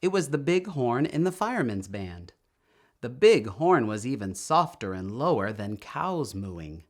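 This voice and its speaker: A man reading a children's story aloud in an expressive, emphatic storytelling manner, drawing out words. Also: mid-range voice, unhurried. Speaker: storytime reader.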